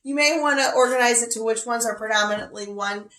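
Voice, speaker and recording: A chatty woman with a scratchy, slightly coughing voice, pitched a bit high, teaching a relaxed quilting lesson from her sewing studio. She talks in a folksy, friendly way, and the room gives her voice a noticeable echo. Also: quick pace. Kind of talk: casual livestream quilting lesson. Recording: echoey room.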